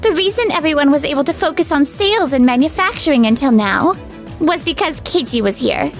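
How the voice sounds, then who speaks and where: A woman's voice: high-pitched, expressive, fairly quick, soft background music. Woman acting firm young office colleague. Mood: firm, reproachful. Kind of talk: scripted manga dub acting.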